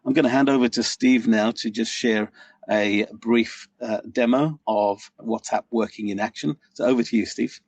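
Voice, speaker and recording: A man's voice: medium pitch, moderate pace, a little mellow, breathy edge, dry recording, recorded from a distance. A man presenting slides at an online conference, talking steadily with frequent "uh" fillers. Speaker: webinar presenter.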